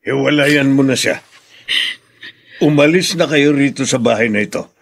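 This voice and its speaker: A man's voice: mid-range voice, normal speed, creaky. A Filipino man playing a firm, protective older father in a radio drama, speaking decisively.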